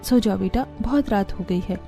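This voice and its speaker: A woman playing a poor, gentle, self-sacrificing young mother in a cartoon story, speaking softly and earnestly. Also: mid-range voice, moderate pace, vocal fry, soft background music, natural voice. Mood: tender.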